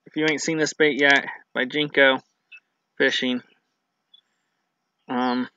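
A man in his thirties rambles easily to his phone camera in a yard at dusk, stopping and restarting as he chats. His voice sits bright and forward. The audio is dull and lo-fi, with a faint hiss underneath. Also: medium pitch. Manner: rambling, down-to-earth, frequent restarts and ums. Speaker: fishing channel host. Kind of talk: casual product review to camera. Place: outdoors in a grassy yard at dusk. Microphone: built-in camera mic at arm's length.

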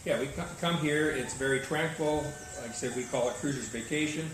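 A man's voice: medium pitch, a little fast. An older man organizing cruising services, answering interview questions in an easygoing, matter-of-fact way on a tropical veranda. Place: open-air veranda, tropical. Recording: echoey, distant, noisy outdoor veranda.

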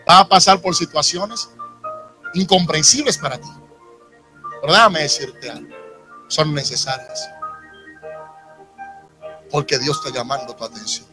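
Dominican pastor preaching to his church, kinda high voice, quiet music under it. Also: measured pace, long pauses, rough recording. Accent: Dominican Spanish. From Dominican Republic. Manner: preaching with rising emphasis and audience asides. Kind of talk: sermon. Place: church sanctuary with congregation. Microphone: handheld microphone. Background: soft instrumental music.

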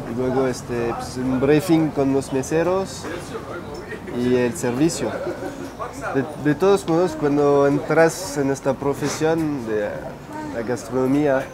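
A man in his twenties from France speaking Spanish with a French accent, answering interview questions as a chef, hesitant with many fillers. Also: mid-range voice. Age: 20s. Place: restaurant dining room, indoors. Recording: noisy restaurant ambience.

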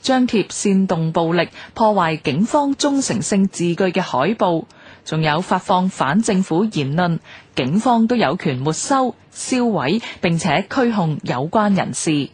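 Low, expressive Cantonese woman narrator. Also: a little slow, breathy edge. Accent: Hong Kong Cantonese. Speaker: radio presenter and narrator. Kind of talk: scripted documentary narration.

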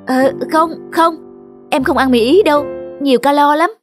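A plaintive young bride-to-be voiced by a woman with a high voice, over prominent music. She is insecure and hard on herself, in the manner of cartoon drama. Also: moderate pace, natural voice. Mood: evasive. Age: young adult. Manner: plaintive, self-critical. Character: insecure young bride-to-be fretting about her weight. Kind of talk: animated cartoon dialogue.

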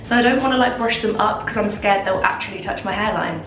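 A woman's voice: medium pitch, quick pace, a bit bright. A young woman vlogging a makeup routine in her bathroom, chatty and gushing, sounding nasal, congested and a bit out of breath. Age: young adult. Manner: chatty, gushing, many fillers. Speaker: lifestyle vlogger. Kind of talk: casual makeup tutorial chat. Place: home bathroom, indoors. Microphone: camera a little way off. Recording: roomy bathroom echo, distant mic, background hiss.

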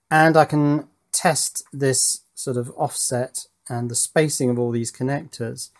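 A man's voice: mid-range voice, darkish tone. A man casually explains hands-on electronics work, thinking aloud in a methodical way. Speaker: electronics hobbyist presenter. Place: indoors at an electronics workbench.

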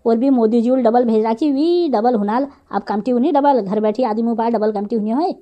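A woman's voice: on the high side, normal speed, muffled recording, close to an everyday voice. A woman voicing a gullible, grumbling village mother in a comic cartoon, with a rustic manner and a Kumaoni accent. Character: gullible, exasperated village mother of a grown son.

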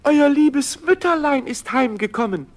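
A man's voice: extremely high pitch, animated, measured pace. An actor playing a sly wolf who mimics a sweet mother goat, coaxing and sugary, in a 1970s radio play. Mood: falsely sweet.

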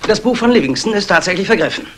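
Higher-voiced, eager assistant detective. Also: male voice, animated, racing. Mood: eager, concerned. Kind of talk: 1960s German radio drama acting. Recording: faintly noisy old radio recording.

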